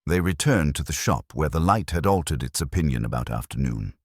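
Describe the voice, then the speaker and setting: A man narrating a literary mystery story in a measured, wry, formal manner. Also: very deep voice, expressive, darkish tone, no echo, studio quality.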